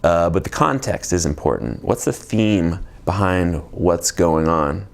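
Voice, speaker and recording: A man in his forties with a very deep voice talks unscripted about spiritual growth. He meanders and restarts his thoughts, filling gaps with ums and "you know". He sounds like a healer explaining his work. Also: lively intonation, a little slow, creaky. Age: 40s. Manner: reflective and meandering, with many fillers and restarts. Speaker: energy healer. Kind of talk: unscripted spiritual teaching monologue.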